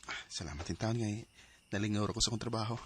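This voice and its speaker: A man's voice: lowish voice, quick pace, loud music behind the voice, lightly acted. A man acting a lovelorn, earnest young man in a radio drama, with an emotional, pleading delivery. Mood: resigned. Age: young adult. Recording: noisy background ambience.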